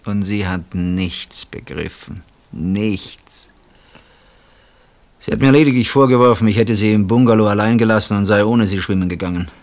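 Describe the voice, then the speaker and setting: Radio drama role: betrayed older husband, very low voice, sardonic, brooding, wounded played by a male actor. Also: normal speed, a little mellow, natural voice. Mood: brooding confession. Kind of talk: German radio play acting.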